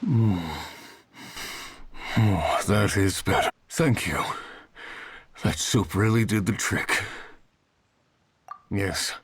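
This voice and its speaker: A man voicing a weakened, grateful hunter in a video game, speaking in a halting, earnest way. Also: on the low side, slight background noise. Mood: relieved, weary. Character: weakened, grateful male boar hunter rescued from the cold.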